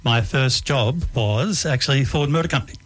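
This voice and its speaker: An older man with an Australian accent recounts his working life on air. He speaks at a middling pitch, unhurried and a little hesitant, filling his pauses with ums. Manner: relaxed, hesitant with frequent ums. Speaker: guest. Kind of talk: life story interview.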